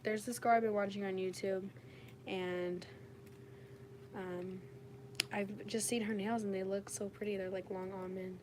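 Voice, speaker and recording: A woman with a raspy, slightly under-the-weather voice, chatting casually and informally as she demonstrates nail work on a livestream. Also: medium pitch. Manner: informal, frequent "like". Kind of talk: casual live chat while demonstrating. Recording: clearly noisy home livestream recording. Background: washing machine noise.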